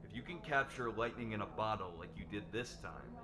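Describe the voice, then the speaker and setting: A man's voice: fairly low pitch, fairly quick, background noise, close to an everyday voice. A man playing a formal, businesslike company board chairman in an audio drama.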